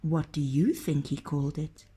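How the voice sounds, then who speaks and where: A woman narrating a children's story in an expressive, dramatic and deliberate way. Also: deep voice.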